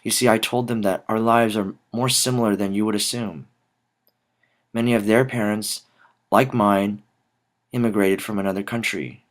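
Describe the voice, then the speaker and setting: Young american guy, kinda low voice, telling a personal story like a prepared speech, careful pauses. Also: fairly even intonation. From Dallas, Texas, United States. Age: young adult. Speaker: student art teacher telling a personal story. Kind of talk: rehearsed spoken personal narrative.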